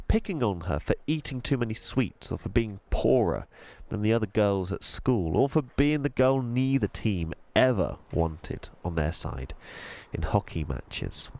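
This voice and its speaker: A man narrating a children's audiobook in an expressive storytelling style. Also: fairly low pitch.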